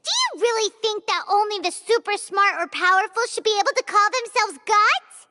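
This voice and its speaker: Casting: tiny excitable sidekick girl, very high, bouncy delivery, game dialogue over music. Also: normal speed, in a large hall, full character voice. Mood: indignant. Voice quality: high, bright, squeaky. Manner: outspoken, indignant outbursts. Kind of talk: video game voice acting.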